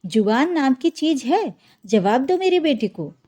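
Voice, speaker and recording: A woman's voice: sits high, very animated, unhurried, dark tone. A woman storyteller voicing a harsh, scolding older mother-in-law, scornful and accusing. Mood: stern.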